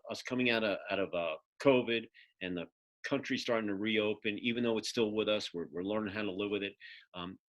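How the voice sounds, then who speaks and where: A man's voice: lowish voice, fairly bright tone. A man from Philadelphia hosting an interview show, talking in a casual, chatty and rambling way. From Philadelphia, United States. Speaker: podcast host. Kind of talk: casual conversational interview introduction.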